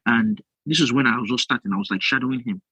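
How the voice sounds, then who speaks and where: A Nigerian-accented man with a slightly husky voice, talking in a dry, close studio sound. He tells stories with animation, slipping into voices for the people he quotes and laughing along the way. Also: mid-range voice, fast, no room sound, low-quality recording. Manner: animated storyteller, acts out quotes, laughs often. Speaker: podcast co-host. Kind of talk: casual chat.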